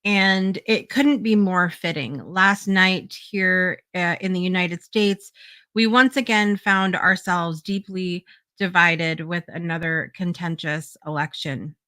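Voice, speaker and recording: A woman hosts a live online show with a bright, forward voice. She talks in an earnest, encouraging way, repeating phrases for emphasis and filling pauses with "um.". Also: fairly low pitch, no room sound. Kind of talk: live talk show hosting.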